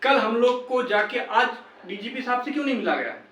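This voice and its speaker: A man's voice: very high-pitched, a little fast, fairly bright tone. A young man from northern India making an insistent, reproachful motivational appeal to fellow candidates as one of their organisers. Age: young adult. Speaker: exam candidate and campaign organiser. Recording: echoey room, distant microphone.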